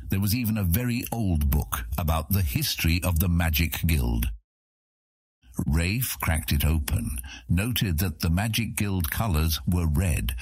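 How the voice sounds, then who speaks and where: A man narrating a scripted fantasy audiobook as a measured, expressive storyteller. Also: sits low, breathy edge, dry recording, clean studio sound.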